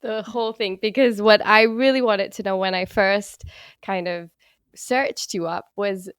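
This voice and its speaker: A woman hosting a podcast, giving an expressive, playful introduction and then interviewing a guest. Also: mid-range voice, measured pace, clean tone, dry recording, clean studio sound. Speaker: podcast host.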